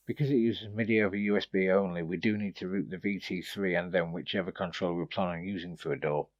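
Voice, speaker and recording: A man's voice: low-pitched, fairly even intonation, fast, dull audio. A man giving a casual, informal product review, explaining features and tips in a slightly hesitant way. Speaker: gear reviewer presenting a demo.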